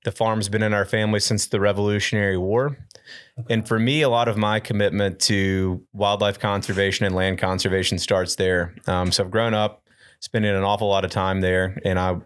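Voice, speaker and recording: A man from Memphis, answering interview questions in a measured, businesslike way. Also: on the low side, level tone, a bit bright, clear, resonant tone. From Memphis, Tennessee. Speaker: guest, company founder.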